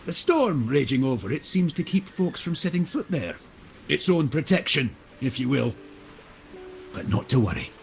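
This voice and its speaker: An actor playing a jovial, theatrical pirate ship captain in a video game, with a playful, roguish manner. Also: male voice, medium pitch, big pitch swings, normal speed, gravelly, soft background music. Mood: breezy, confident. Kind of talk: video game character acting.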